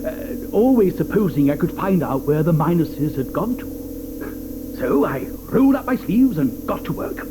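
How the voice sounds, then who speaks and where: An actor playing an eccentric, fussy male chocolate maker in an audio drama, talking theatrically with many hesitant pauses. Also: a little high, sing-song, background noise. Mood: hurried, eager. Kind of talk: 1980s audio drama acting. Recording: studio audio drama with music behind.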